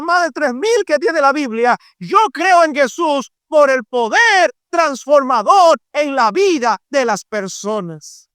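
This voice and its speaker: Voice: sits high, a bit bright, no echo, studio quality. A middle-aged preacher with a Dominican accent delivering a fervent evangelistic sermon, emphatic and repetitive, drawing out key phrases. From Dominican Republic.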